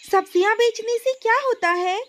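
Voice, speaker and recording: A woman's voice: extremely high pitch, moderate pace, slight background noise, voice pushed up. A woman voicing a curious, innocent seven-year-old princess with a childlike, pleading manner in a cartoon story. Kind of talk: cartoon moral story acting.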